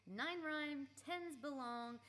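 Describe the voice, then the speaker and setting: A woman's voice: high voice, slow pace, a bit bright. An actress playing an impulsive, playful young woman in a radio play recorded live. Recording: live recording with clear background noise.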